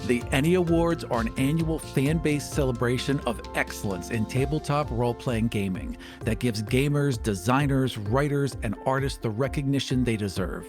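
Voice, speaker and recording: A man's voice: medium pitch, moderate pace, few pauses, over music. A man co-hosting a tabletop gaming show, speaking in earnest, articulate, formally phrased sentences. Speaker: show co-host and player. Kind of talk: tabletop role-play talk and sincere appeal.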